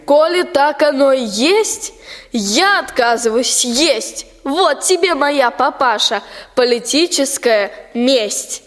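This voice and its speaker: A stubborn, spoiled princess played by a young woman recites verse in a radio play. Her voice is very high and deliberately slow, echoing in a long hall. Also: expressive. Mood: defiant, sulky. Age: young adult. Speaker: school student actor.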